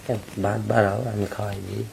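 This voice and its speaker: A man's voice: on the low side, little pitch movement, quick pace, vocal fry, a little mellow, slight background noise. A man with a Bangladeshi accent having a casual, flirtatious chat on a recorded phone call.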